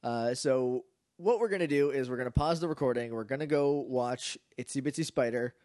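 A man co-hosting a casual podcast, chatty and quick to laugh, with a slightly nasal voice. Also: medium pitch, dry recording, studio quality. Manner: chatty, laughs often, animated. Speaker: podcast co-host. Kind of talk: casual chat.

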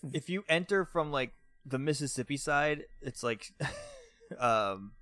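Slightly high-voiced man joking casually. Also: lively intonation, unhurried, a bit bright, no room sound, studio quality. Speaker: podcast panelist.

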